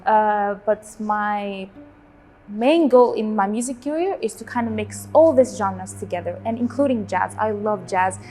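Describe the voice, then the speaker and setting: A woman in her twenties, born in Syria, a cellist and teacher speaking in a relaxed interview, with many pauses and fillers. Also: mid-range voice. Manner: hesitant, many fillers, warm about music. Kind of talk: relaxed personal interview answers.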